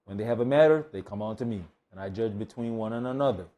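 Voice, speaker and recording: A man serving as scripture reader at a Bible study, measured and plain in delivery. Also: on the low side, dark tone. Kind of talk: reading scripture aloud, brief comments.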